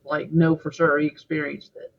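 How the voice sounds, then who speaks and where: Husky woman chatting through a reaction. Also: sits low, level tone, normal speed, muffled recording. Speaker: co-host of a reaction show. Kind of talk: casual reaction commentary.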